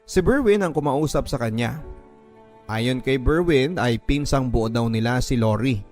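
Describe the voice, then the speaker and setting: A man's voice: mid-range voice, darkish tone. Filipino man narrating sentimental drama over music. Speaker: radio drama narrator reading a listener's letter. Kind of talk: dramatic scripted first-person storytelling. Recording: music bed under the voice. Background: background music.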